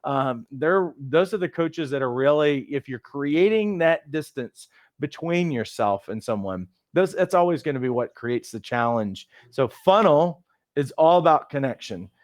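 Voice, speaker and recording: Upbeat male webinar host, higher voice, dry close mic. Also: lively intonation, unhurried, dry recording. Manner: upbeat, reads out viewer comments. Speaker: host of a coach training webinar. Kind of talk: casual live webinar chat.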